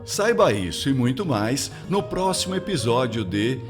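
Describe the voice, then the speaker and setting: A man's voice: mid-range voice, very animated, normal speed. A man working as an announcer, reading scripted closing credits for a radio drama. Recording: roomy echo under clear guitar music.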